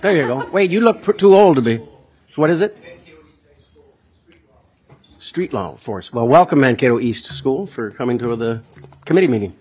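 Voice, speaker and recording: Halting husky committee chairman. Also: mid-range voice, lively intonation, a little mellow, muffled recording. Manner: halting, many "uh" fillers, folksy. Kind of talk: chairing a legislative hearing.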